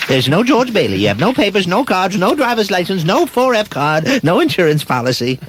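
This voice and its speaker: A man playing a patient, matter-of-fact guardian angel in a comedic radio drama, with a husky voice. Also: high-pitched, big pitch swings, fairly quick, noisy background. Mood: patient, insistent. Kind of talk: comedic radio show read-through.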